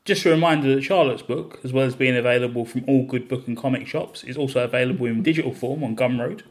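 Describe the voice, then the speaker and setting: A man hosting a comics podcast, asking questions with frequent restarts and soft laughs. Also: mid-range voice, fast talker, faint music behind. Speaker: podcast host. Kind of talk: casual interview.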